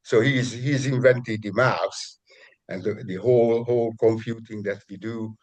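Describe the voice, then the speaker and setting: A man on a video call explaining his work in a halting way, often restarting and repeating words. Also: mid-range voice, steady tone. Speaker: guest describing his work. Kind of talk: casual video call conversation.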